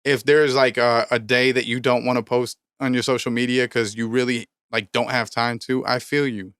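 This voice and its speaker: A thirty-year-old man co-hosting a podcast, pushing his point hard in a steady mid-range voice. He talks with heavy swearing and motivational emphasis, and his voice sounds smooth and resonant on a dry close mic. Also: medium pitch, normal speed, no room sound. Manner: emphatic, profane, preachy motivational rants. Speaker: podcast co-host, rapper. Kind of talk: casual podcast chat.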